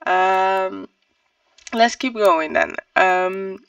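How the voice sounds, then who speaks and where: A book reviewer talking casually and thinking aloud, in a halting, hesitant and self-correcting way. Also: medium pitch, fairly bright tone, clear, resonant tone, no room sound, distant mic. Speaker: book reviewer on own channel.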